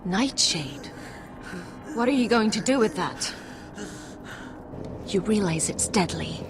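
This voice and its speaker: A calm, persuasive forest leader. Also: female voice, mid-range voice, slow pace. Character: commanding woman leader of a forest stronghold. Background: music.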